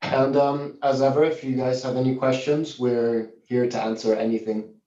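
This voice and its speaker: A man's voice: medium pitch, flat delivery, moderate pace, warm tone. A young man speaking fluently and articulately as an alumnus guest over a video call from an office. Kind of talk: casual remote testimonial. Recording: roomy, distant, dull video-call audio.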